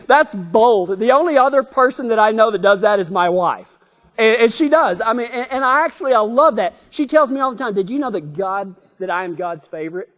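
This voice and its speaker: A man with a Texan drawl preaches in a loose, storytelling way, restarting his sentences and chuckling as he goes. His voice sits high for a man and rises and falls, and it carries a long echo from a big hall. The tone is plain and folksy. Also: a bit bright. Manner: rambling, self-correcting, chuckling storyteller.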